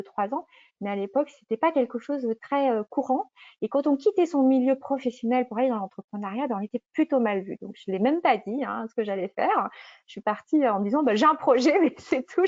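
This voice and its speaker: A woman's voice: fairly high pitch, darkish tone, no room sound. A middle-aged woman giving an informal, chatty account of her path as an entrepreneur, often correcting herself as she explains. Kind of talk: informal personal testimonial. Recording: dull, muffled lo-fi audio.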